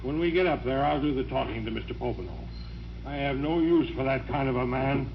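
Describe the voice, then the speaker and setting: A brusque, authoritative country doctor acted by a man in a vintage radio play. His mid-pitched voice is urgent and determined, on a dull old recording with faint hiss. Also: fairly quick, lightly acted. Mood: impatient, determined. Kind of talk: old-time radio drama acting.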